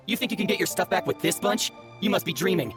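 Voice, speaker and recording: An actor playing a cocky, sneering young bandit bully in a video game, taunting and mocking. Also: male voice, high-pitched, animated, a little fast. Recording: music behind the voice.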